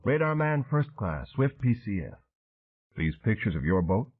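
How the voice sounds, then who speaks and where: A man voicing a gruff male Vietnam veteran boatman, speaking in clipped, broken phrases on a tinny phone-like recording. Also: lowish voice, sing-song, a little slow, full character voice. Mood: terse, knowing. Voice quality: clipped broken grammar, laconic.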